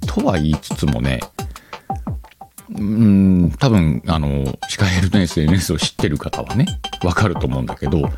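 A man's voice: low voice, expressive, normal speed. A man hosting a casual solo podcast, thinking aloud as he talks directly to his listeners. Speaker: podcast host. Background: background music.

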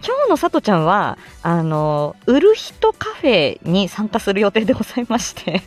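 A woman's voice: mid-range voice, wildly swooping pitch, normal speed, soft background music. A woman hosting a casual live stream from inside a car, playful and self-deprecating as she gives chatty announcements. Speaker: livestream host; speech-language therapist.

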